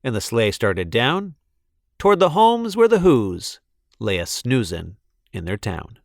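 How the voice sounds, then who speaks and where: A man reading a children's story aloud with dramatic, deliberate, theatrical emphasis. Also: medium pitch, wildly swooping pitch, creaky, dry recording, clean studio sound. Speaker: storybook reader. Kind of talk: expressive children's read-aloud narration.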